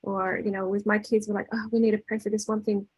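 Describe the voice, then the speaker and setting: A woman's voice: mid-range voice, little pitch movement, fast talker, slightly rough, no room sound, poor audio. A woman giving a casual inspirational talk, conversational, telling anecdotes with acted-out dialogue and checking in with her listeners. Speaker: speaker leading a spiritual talk.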